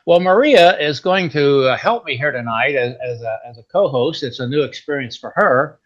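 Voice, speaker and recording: A man hosting a podcast, talking in a relaxed, folksy, rambling way. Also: medium pitch, moderate pace. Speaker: podcast host. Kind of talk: casual interview chat. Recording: slightly dull lo-fi sound.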